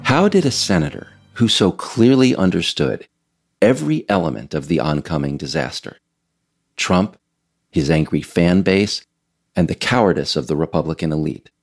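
An American man reading scripted narration in a measured, emphatic storytelling way. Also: sits low. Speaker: author reading own work, narrator.

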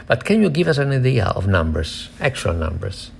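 Man, interview host, fry in the voice, thinking aloud as he asks, faint noisy backdrop. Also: medium pitch, very animated, normal speed. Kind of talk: conversational interview questions.

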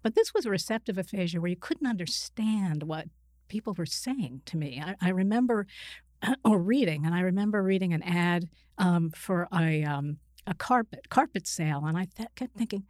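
A woman's voice: on the low side, animated, normal speed, a little mellow, no echo, studio quality. A middle-aged woman from Queens, New York, a psychiatrist guest explaining things conversationally, with hesitations and self-corrections. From Queens, New York, United States. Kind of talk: conversational personal account.

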